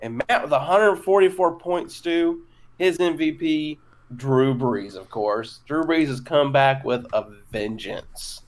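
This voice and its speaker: A man hosting a casual fantasy football podcast, often stumbling and filling pauses with uh. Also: on the high side. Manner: hesitant, stumbling with many fillers. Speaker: podcast host. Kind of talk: casual chat.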